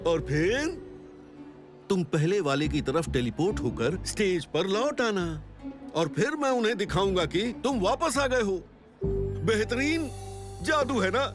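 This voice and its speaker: Excitable, high-pitched young stage magician. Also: male voice. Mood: confident, explaining. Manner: theatrical showman patter. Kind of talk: animated cartoon dubbing. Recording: loud music under the voice. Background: music.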